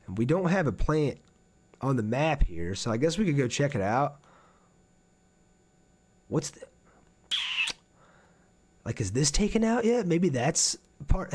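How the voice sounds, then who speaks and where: A man's voice: mid-range voice, darkish tone, slightly rough. A man live streaming a game, thinking aloud in an informal way and chatting casually with viewers as he plays.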